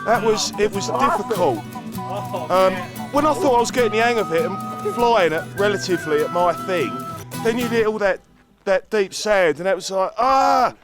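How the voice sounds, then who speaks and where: High voiced guy excitedly recapping a bike ride, casual, music behind. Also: moderate pace. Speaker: motorcycle trip rider. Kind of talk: animated casual recounting.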